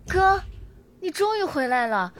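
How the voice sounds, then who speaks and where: A woman's voice: very high voice, sing-song, unhurried, heavily acted. An actress playing a cheeky, carefree young woman, a playful sisterly colleague in an audio drama. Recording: noisy track with keyboard clicks.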